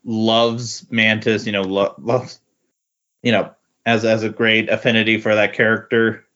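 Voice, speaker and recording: Male podcast co-host, fairly low voice, halting casual chat, slightly muffled recording. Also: little pitch movement, fairly bright tone. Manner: halting, repeats words as he thinks. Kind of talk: casual comic book discussion. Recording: dull lo-fi voice recording.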